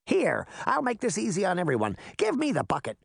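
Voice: mid-range voice, huge pitch swings, fairly quick, gravelly, breathy edge, no echo, studio quality, voice pushed up, heavily acted. A narrator voicing an eager, pushy female witch who insists on being melted. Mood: insistent. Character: eager theatrical female witch, cheerfully fated to melt.

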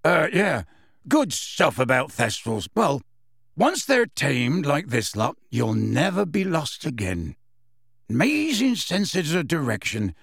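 A man acts out a big, flustered half-giant teacher in an audiobook. He talks haltingly and folksily, dropping consonants as he hunts for his thread. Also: a little high, huge pitch swings, gravelly, dry recording, clean studio sound, heavily acted.

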